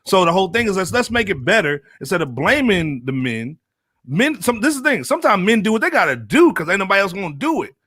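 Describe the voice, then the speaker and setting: A man's voice: sits high, sing-song, fast, a bit bright, breathy edge, dry recording, clean studio sound. A man with an American accent hosting a casual panel podcast in slangy, colloquial speech. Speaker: podcast host.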